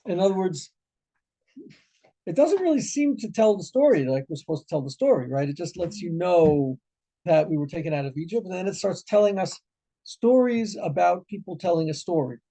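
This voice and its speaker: Rabbi, male, gravelly mellow voice, chatty animated teaching delivery. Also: high voice, normal speed. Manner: conversational, animated, asks "right?" often, laughs. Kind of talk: informal Torah lesson.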